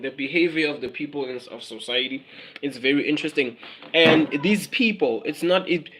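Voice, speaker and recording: A South African man hosts a casual livestream, speaking in a somewhat high voice. He muses aloud and circles back over his own phrases, slipping Zulu words into his English. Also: a bit bright, low-quality recording. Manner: musing, repeats phrases, mixes in Zulu words. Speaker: livestream host.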